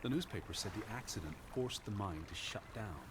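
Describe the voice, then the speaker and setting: A man voicing a serious, scholarly American naturalist in a video game, measured and earnest. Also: fairly low pitch, lively intonation, background noise, lightly acted. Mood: dry, explanatory.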